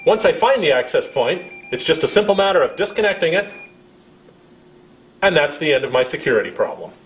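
A middle-aged American man presents a scripted demonstration in a roomy-sounding space. His voice sits slightly high and moves with plenty of melody. He explains each step in a friendly, instructional manner. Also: lively intonation, fairly quick, slight background noise. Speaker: product presenter.

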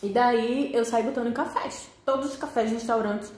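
A woman's voice: on the high side, steady tone, normal speed, darkish tone. A young woman with a Northeastern Brazilian accent chatting casually and expressively to her viewers, speaking straight to the camera. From Pernambuco, Northeast Brazil. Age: young adult. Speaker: vlogger. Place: small living room with desk, indoors. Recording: echoey room.

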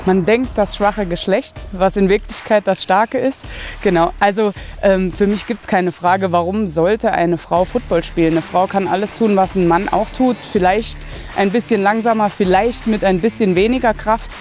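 A woman's voice: mid-range voice, moderate pace, darkish tone. A woman in her thirties speaks firmly and plainly about her team. She is interviewed outdoors on a sports field at night, with some music playing behind her. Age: 30s. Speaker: amateur football lineman. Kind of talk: casual interview answers.

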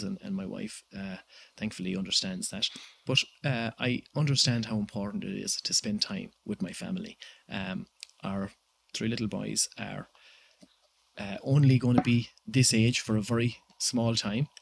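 A man in his thirties with an Irish accent, giving measured interview answers with many pauses and fillers. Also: on the low side, steady tone, vocal fry, husky. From Ireland. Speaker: guest entrepreneur.

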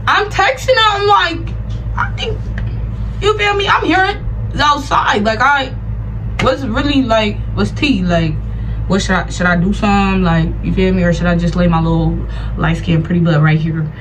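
An American woman chatting casually to viewers, telling a personal story in a slangy, animated way with acted-out asides. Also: medium pitch, moderate pace, a little hiss or hum, rough recording. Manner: slangy, animated retelling with acted-out asides and repeated check-ins.

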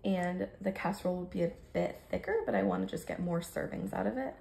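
An American woman in her thirties with a creaky voice, chattily narrating her cooking step by step in a casual, informal way. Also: lowish voice, quick pace, mellow. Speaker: home cook vlogger. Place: home kitchen, indoors.

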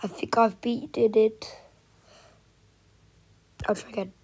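A young woman vlogging with a stuffy cold, sniffling, talking in unhurried bursts that jump into excited gasps and squeals. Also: fairly high pitch, background noise. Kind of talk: casual screen-recording vlog.

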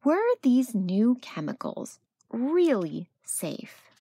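A woman narrating a children's picture book as a read-aloud storyteller, with expressive delivery. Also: a little high, extremely slow, slightly rough, no echo.

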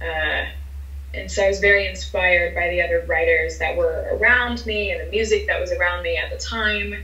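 A young woman from Philadelphia with a softened American accent, chatting upbeat as an interview guest. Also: lowish voice, fairly even intonation, normal speed. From Philadelphia, USA. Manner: chatty and upbeat, gestures while talking. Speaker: singer-songwriter guest. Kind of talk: casual interview chat. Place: indoor room at home. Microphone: wireless earbuds on a video call. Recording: roomy, distant, dull video-call audio.

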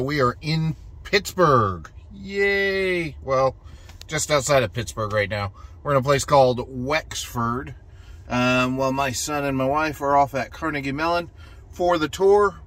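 A man's voice: a little high, bright, forceful tone. Easygoing middle-aged man vlogging. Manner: easygoing, rambling with fillers and restarts. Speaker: vlogger on a road trip. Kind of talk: casual vlog narration. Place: inside a car and outdoors at charging stations. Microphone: phone camera at arm's length.

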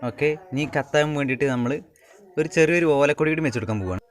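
A man's voice: medium pitch, rapid-fire. A man from Kerala, India, casually showing viewers how he cooks at home, rambling and repeating his phrases as he works. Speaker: home cook and vlogger. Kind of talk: casual cooking demonstration to viewers. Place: home cooking spot with wood fire. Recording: clattering pans and clicks, noisy self-shot recording.

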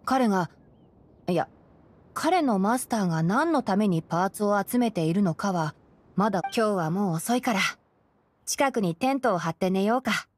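A woman playing a composed, knowledgeable young fox-eared male forest ranger in a Japanese game, explaining things in a measured way. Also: mid-range voice, animated, darkish tone, close to an everyday voice. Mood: calm, kindly. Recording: game music behind the voice. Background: background game music.

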